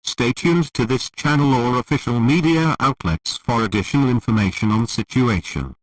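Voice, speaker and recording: A man's voice: medium pitch, normal speed. Guy doing an official emergency alert, stiff and impersonal, sounds a bit far off, no room echo. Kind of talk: formal scripted emergency broadcast announcement.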